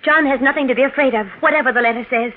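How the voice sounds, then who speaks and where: An actress playing an anxious, inquisitive wife in a 1940s radio mystery, speaking in a hesitant, probing way. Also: female voice, high voice, very fast, narrow, tinny audio. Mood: wary, tense. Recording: thin vintage radio broadcast, music underneath.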